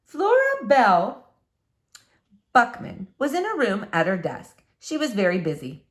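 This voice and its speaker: A woman reading a children's book aloud as a storyteller, with expressive, dramatic emphasis. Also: fairly high pitch, a little slow.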